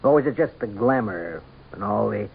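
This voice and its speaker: An actor playing an adoring, jealous, earnest politician husband in a radio drama. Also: male voice, on the low side, expressive, normal speed, gravelly, husky, exaggerated voice. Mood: tender, jealous. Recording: tinny, faintly noisy old radio recording.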